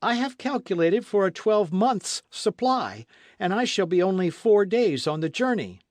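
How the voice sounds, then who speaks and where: A man voicing a jaunty daring adventurer, high and lively. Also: a little slow, no echo, studio quality. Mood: breezy. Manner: jaunty, confident and playful. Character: bold, witty adult Frenchman adventurer. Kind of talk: audiobook character reading.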